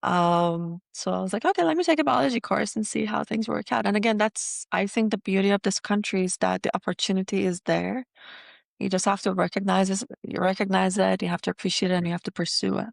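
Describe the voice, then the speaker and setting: Woman from Iran, dentist guest, casual interview talk, unhurried pauses, gravelly voice, dry sound. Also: medium pitch, no echo. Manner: relaxed, many "um" pauses.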